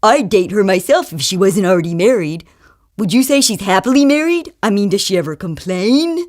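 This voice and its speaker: Smug, self-absorbed male date, acted out by a woman, bragging and condescending, mid pitch, comic monologue. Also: animated, normal speed, a little mellow, lightly acted. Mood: smug prying. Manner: boastful, smug. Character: self-absorbed, arrogant jerk men on bad dates.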